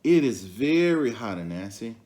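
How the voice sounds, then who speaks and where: A man's voice: medium pitch, big pitch swings, normal speed, a little mellow, lightly acted. A man voicing a small, shy, clever female bush deer in a storybook, polite and innocent-sounding.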